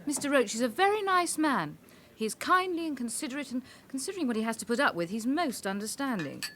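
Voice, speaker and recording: A British woman in her twenties in a radio drama. She is playful and flirtatious, with a slightly high, swooping delivery and a mock-formal tease. Some background ambience sits behind her. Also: very animated, fast, fairly bright tone, a little hiss or hum. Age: 20s.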